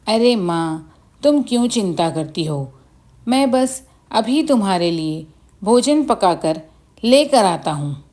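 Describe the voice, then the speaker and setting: A woman's voice: mid-range voice, big pitch swings, slow pace, dark tone. A woman voicing a poor, kind village boy in a children's story, speaking earnestly and reassuringly. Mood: caring.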